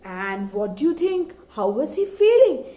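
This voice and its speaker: High, animated woman storyteller in echoey room. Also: a little slow. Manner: dramatic, asks the listeners questions. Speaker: children's storyteller.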